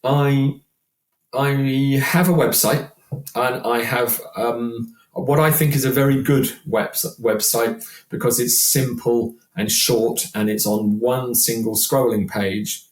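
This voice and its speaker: A man's voice: mid-range voice, steady tone, takes it slowly. Roomy-sounding British man of sixty. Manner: rambling, self-deprecating. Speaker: podcast guest, working function singer. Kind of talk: casual interview chat.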